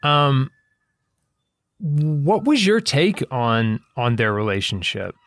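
A man hosting a casual interview, feeling his way haltingly into his questions. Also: medium pitch, fairly bright tone, no echo. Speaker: interviewer, show creator and director.

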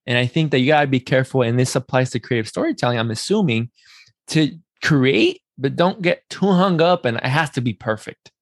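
Male interviewer, casual, talkative, encouraging, mid-pitched voice, dry recording. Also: expressive, fairly quick, no echo. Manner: talkative, effusively affirming. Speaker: podcast host.

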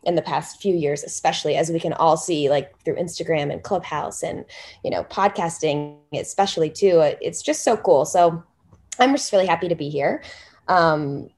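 A woman's voice: low-pitched, normal speed, a little mellow. A woman in her thirties from the US, a salon owner chatting casually as a podcast guest, rambling with fillers and restarts.

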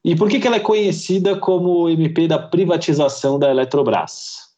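A man's voice: on the high side, brisk, warm tone, highs cut off. A man hosting a corporate podcast, reading a formal introduction and welcoming his guest. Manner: formal and welcoming, scripted lead-ins. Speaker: podcast host.

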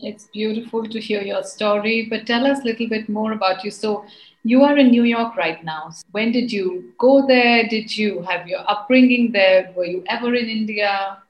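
A woman hosting a podcast who gently asks her guest several questions in a row. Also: medium pitch, moderate pace. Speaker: podcast host. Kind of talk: interview questions. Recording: dull, lo-fi, roomy.

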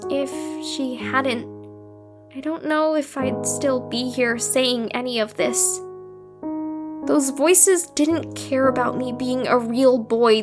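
A woman playing a troubled patient in an audio drama, giving a confessional monologue about a haunted childhood. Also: sits high. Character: troubled patient recounting childhood torment by inner voices. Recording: loud piano music behind the voice. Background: piano music.

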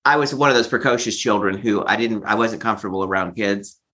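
A man's voice: lowish voice, quick pace, bright, forceful tone. A man in his fifties from Texas, telling his personal story candidly and reflectively as an interview guest. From Texas, United States. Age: 50s.

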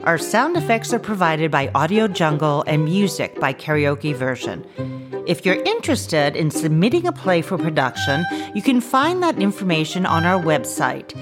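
Female podcast announcer, low register, scripted sign-off read over a violin music bed. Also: sing-song, moderate pace. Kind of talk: scripted outro narration.

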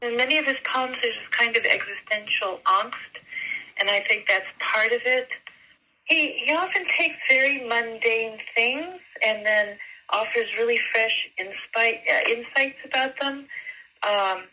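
Very bright-voiced woman in her seventies. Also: fairly high pitch, measured pace, rough recording. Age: 70s. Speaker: interviewee, poet discussing her former teacher. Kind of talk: reflective interview talk.

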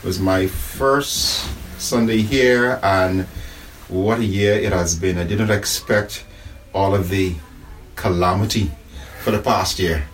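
A man with a lowish voice recalls his past in a thoughtful, unhurried way, pausing to find his words. He sits a little back from the microphone in a church office, with a faint hiss behind him. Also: distant mic, poor audio. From Bahamas. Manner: measured, thoughtful, with pauses and restarts. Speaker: Anglican priest, interview guest. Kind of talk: reflective conversational interview.

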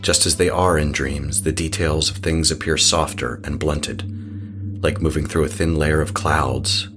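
Ominous male narrator voiced by an actor, extremely deep, solemn and literary, scripted horror storytelling over clear music. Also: fairly even intonation, a little slow. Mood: solemn, eerie.

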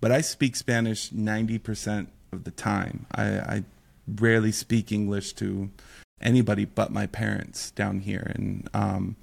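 A man raised in Mexico, a missionary pastor, talking in a relaxed, joking way in a casual podcast conversation. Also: lowish voice, a little slow, gravelly. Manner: relaxed, joking, repeats words.

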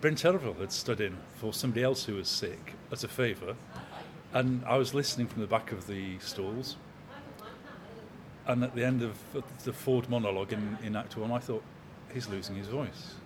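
A man in his sixties, an opera company manager, talking in a measured, anecdotal way in an interview. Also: on the low side, noisy background. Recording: crowd chatter in background.

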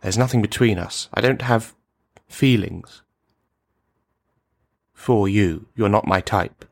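A man playing a lonely, menacing misogynist in a radio play monologue, speaking in formal, mannered, halting phrases. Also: on the low side, vocal fry, dry recording, exaggerated voice. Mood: tender, dismissive.

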